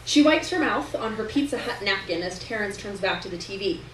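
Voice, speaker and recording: A woman's voice: medium pitch, lively intonation, a little fast, a bit bright. A woman reading a literary story aloud at a live event indoors. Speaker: story reader. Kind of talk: literary narration read aloud to an audience. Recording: roomy distant live recording, noticeable hiss.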